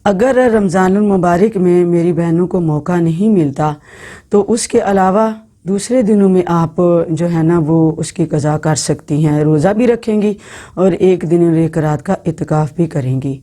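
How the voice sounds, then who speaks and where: A woman giving informal Islamic religious instruction to women, speaking in an instructive way with halting phrasing and repeated words. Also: on the low side, warm tone. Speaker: religious teacher.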